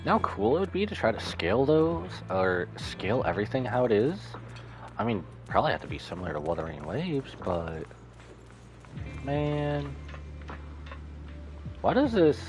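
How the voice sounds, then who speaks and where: A man's voice: mid-range voice, big pitch swings, moderate pace, pauses often. A man giving casual, slangy, exclamatory commentary while playing through a game. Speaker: streamer. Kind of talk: casual game playthrough commentary, reading some text aloud. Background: game music.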